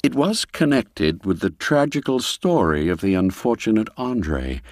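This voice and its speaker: A man narrating a literary tale in a theatrical, expressive and unhurried storytelling manner. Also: fairly low pitch, no echo, studio quality.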